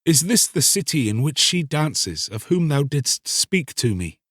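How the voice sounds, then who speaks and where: A man's voice: on the high side, sing-song, a little slow, fairly bright tone, dry recording, clean studio sound, voice pushed up, full character voice. A man voicing a young, lovelorn fisherman in a storybook, earnest and troubled, with questioning, archaic speech. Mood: earnest longing. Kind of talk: dramatised storybook reading.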